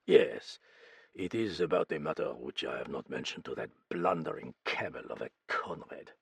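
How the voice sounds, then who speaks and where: A man's voice: extremely low pitch, animated, moderate pace, gravelly, a bit bright, husky, no echo, clean studio sound, pitched below the performer's own voice, heavily acted. A man doing a theatrical older Frenchman. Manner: theatrical, mannered.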